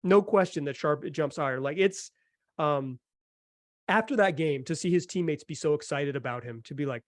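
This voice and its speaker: A man's voice: a little high, moderate pace, dry recording, studio quality. A man hosting a podcast, chatting casually and enthusiastically about sports with emphatic delivery. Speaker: podcast host.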